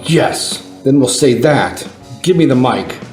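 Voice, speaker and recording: A man's voice: mid-range voice, expressive, slow, a little mellow. A man playing a cocky, self-important and slightly dim TV news reporter in a satirical audio comedy. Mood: pompous, announcing. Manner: pompous, self-assured. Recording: roomy sound with faint music.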